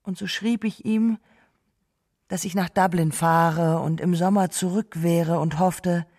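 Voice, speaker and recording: An actress playing a gentle, grieving wife who remembers a lost love in a halting, subdued way in a radio drama. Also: female voice, fairly low pitch, fairly even intonation. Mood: wistful sorrow. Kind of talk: literary radio drama monologue.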